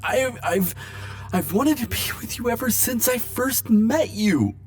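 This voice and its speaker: A man playing a lovesick, world-weary immortal in an intimate romantic audio roleplay, speaking haltingly and emotionally. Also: very high-pitched, lively intonation, faint music behind. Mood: desperate, pleading. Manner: halting, emotional, stammering confessions with soft wry asides.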